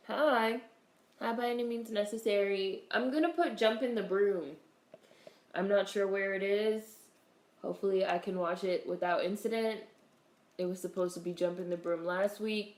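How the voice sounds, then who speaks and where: A woman hosting a casual livestream, greeting viewers in a relaxed, chatty way while congested with a cold. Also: medium pitch, roomy sound. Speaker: livestream host.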